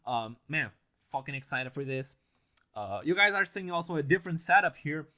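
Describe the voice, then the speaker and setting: A young man whose first language is Portuguese, excitedly chatting to camera about an anime, swearing and laughing often, on a stand mic set a little back. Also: on the high side, moderate pace. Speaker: anime reaction channel host. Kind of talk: casual reaction commentary to camera. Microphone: mic on a stand, set a bit farther than usual.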